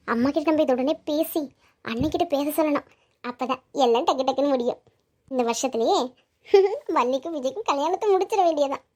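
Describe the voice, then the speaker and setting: A woman's voice: sits high, darkish tone. A woman playing a protective, doting middle-aged mother in a family melodrama, coaxing in colloquial speech. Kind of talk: melodramatic family audio drama acting. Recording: dull lo-fi audio.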